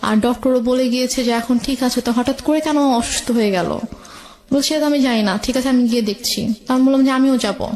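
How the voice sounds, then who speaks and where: A woman's voice: fairly high pitch, brisk, a little mellow. A woman with a Bangladeshi accent, a guest recounting her own life story in conversation.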